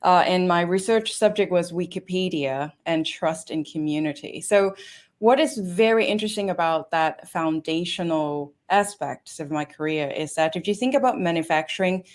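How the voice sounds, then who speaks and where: Hong Kong-accented woman, low earnest voice. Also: normal speed. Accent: Hong Kong English with London influence. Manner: thoughtful, earnest, with some restarts. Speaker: guest, industry director. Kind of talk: conversational interview answers.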